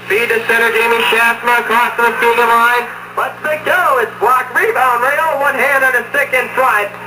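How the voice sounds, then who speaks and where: A man's voice: extremely high pitch, fairly even intonation, normal speed. A man calling live sports play-by-play, heard through an old radio speaker. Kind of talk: fast live play-by-play commentary. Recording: echoey, noisy radio broadcast picked up across room.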